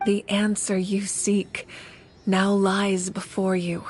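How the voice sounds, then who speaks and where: A woman's voice: mid-range voice, flat delivery, a little mellow, a little hiss or hum, lightly acted. Composed, commanding woman guide, voiced by actress. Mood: solemn. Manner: composed, measured, authoritative. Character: calm, wise, commanding female guide. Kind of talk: dramatic video game voice acting.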